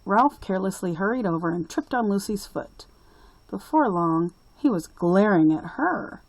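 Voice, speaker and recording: A woman reading a children's story aloud as a storyteller, with deliberate, expressive phrasing. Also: medium pitch, no room sound, low-quality recording. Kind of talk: expressive picture-book read-aloud.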